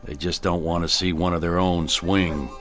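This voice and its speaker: An actor playing a gruff, weary, no-nonsense town sheriff in a radio drama, speaking bluntly. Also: male voice, sits low, level tone, a bit bright, a little hiss or hum. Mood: resigned. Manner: blunt, drawn-out delivery.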